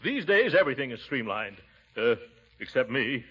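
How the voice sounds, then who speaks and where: A man's voice: medium pitch, sing-song, moderate pace, background noise, lightly acted. An actor playing a blustering, pompous middle-aged uncle in a 1940s radio comedy, chuckling as he goes. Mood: pompous, indulgent. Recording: muffled 1940s radio recording.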